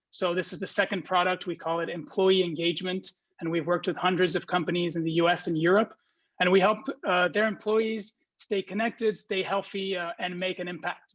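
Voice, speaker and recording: Man in his twenties, from Belgium, high voice, relaxed talk with fillers, muffled lo-fi recording. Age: 20s. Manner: friendly, relaxed, many ums and restarts. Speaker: guest speaker. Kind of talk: informal talk to students.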